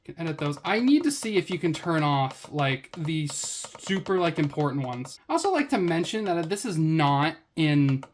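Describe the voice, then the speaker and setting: Wry woman with lively, swinging pitch. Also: moderate pace, few pauses, slight background noise. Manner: sarcastic, wry. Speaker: gadget reviewer. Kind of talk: casual unboxing commentary.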